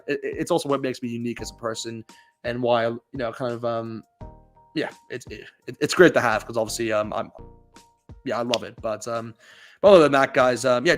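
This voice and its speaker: A young man with a mixed, hard-to-place accent chats quickly to camera about rugby. He rambles, circling back with fillers and restarts, at a middling pitch. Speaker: rugby YouTuber. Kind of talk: casual to-camera vlog.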